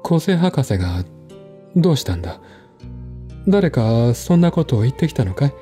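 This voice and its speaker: A man voicing an agitated, indignant gentleman in a mystery radio drama, with emphatic, exasperated, old-fashioned educated phrasing. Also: mid-range voice, big pitch swings, normal speed, close to an everyday voice. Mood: bewildered. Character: agitated, indignant male host of a mystery gathering. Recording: music behind the voice.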